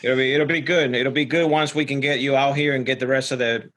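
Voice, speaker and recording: Earnest man from Ecuador, medium pitch. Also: steady tone, fast, a bit bright. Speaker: podcast guest, security professional. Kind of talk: casual interview chat.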